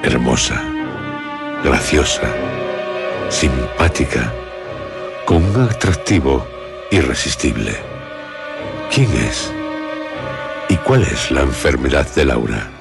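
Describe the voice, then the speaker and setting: Very deep, gravelly Spanish man, ominous. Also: big pitch swings. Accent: Spanish from Spain. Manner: ominous, theatrical, deliberate pauses. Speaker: series presenter. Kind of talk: scripted suspense narration for radio. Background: music.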